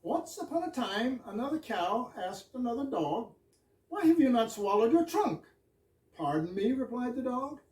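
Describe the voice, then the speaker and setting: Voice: medium pitch, sing-song, normal speed, darkish tone, lightly acted. A performer in amateur readers' theater playing a bashful, long-winded fire chief who tells absurd stories. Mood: storytelling. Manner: long-winded run-on storytelling. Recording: echoey room, distant mic.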